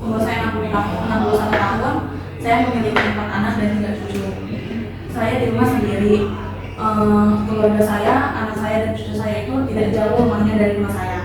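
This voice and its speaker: Woman playing a 60s grandmother, hesitant, repeats herself, flat delivery, echoey room from far off. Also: medium pitch, lightly acted. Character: older grandmother, a domestic violence victim. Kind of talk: classroom counseling role-play.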